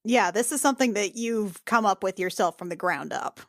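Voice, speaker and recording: A woman's voice: mid-range voice, lively intonation, moderate pace, fairly bright tone, dry recording, clean studio sound. A woman chatting casually as a player in a tabletop roleplaying podcast. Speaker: podcast cast member and player.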